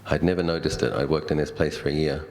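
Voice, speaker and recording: Very deep-voiced Australian monk telling stories. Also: male voice, little pitch movement, fast talker, a little mellow. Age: middle-aged. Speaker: Buddhist monk giving a talk. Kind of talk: dhamma talk with personal storytelling.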